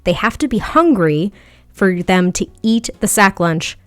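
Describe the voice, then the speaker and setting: A woman's voice: medium pitch, expressive, a little slow, dry recording. Chatty woman, a bit nasal from a cold. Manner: chatty, rambling, with small laughs. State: slightly nasal from a lingering head cold. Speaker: video game build creator. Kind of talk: casual voice-over commentary over gameplay. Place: home setup at a standing desk.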